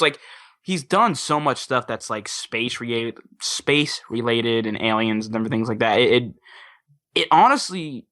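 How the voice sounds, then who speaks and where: A man's voice: mid-range voice, a bit bright. A man chatting casually as a podcast panelist, repeating himself and leaning on filler phrases. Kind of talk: casual chat.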